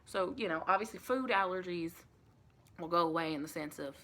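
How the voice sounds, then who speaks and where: A soft-spoken woman playing a chatty, caring female vampire intake interviewer in an improvised ASMR roleplay, rambling and reassuring with pet names. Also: lowish voice, brisk. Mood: chatty, matter-of-fact. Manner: rambling, reassuring, endearments like honey and sweetie.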